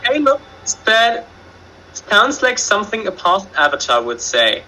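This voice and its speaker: A man reading trivia questions on a casual show, his voice high and strikingly bright. He pauses often on fillers as he works through each answer. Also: very animated, a little hiss or hum, rough recording. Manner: hesitant, filler-heavy reading aloud. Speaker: question reader.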